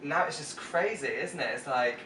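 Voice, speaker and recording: A man's voice: on the high side, normal speed. A British man hosting a casual, chatty interview in a playful, exclamatory way. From United Kingdom. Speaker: interview host. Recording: roomy, distant mic, faint background noise.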